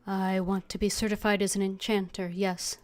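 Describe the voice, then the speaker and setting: A woman's voice: mid-range voice, flat delivery, moderate pace. A woman game streamer, switching between voicing her character's lines and casual commentary on her play. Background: faint game music.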